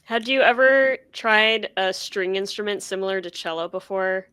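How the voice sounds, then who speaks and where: A woman's voice: mid-range voice, normal speed, fairly bright tone, clear, resonant tone, dry recording. Woman podcast co-host, playful chatty tone, dry close sound. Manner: chatty, playful, acts out quotes. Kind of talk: casual chat.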